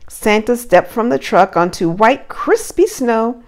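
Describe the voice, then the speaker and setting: A woman preschool teacher doing an animated read-aloud for young children, with simple encouraging questions. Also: a little high, unhurried.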